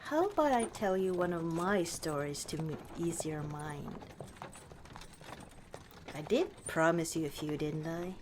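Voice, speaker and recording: Woman acting as female orc chieftain, low pitch, very slow delivery, warm and teasing, ASMR fantasy roleplay, horse hooves and ambience behind. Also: lively intonation, background noise. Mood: fond storytelling. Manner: unhurried, dry, teasing, casually dismissive. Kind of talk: ASMR roleplay monologue to the listener.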